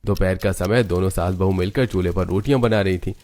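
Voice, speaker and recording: Low-voiced man narrating a story. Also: a little fast, darkish tone. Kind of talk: scripted moral-story narration.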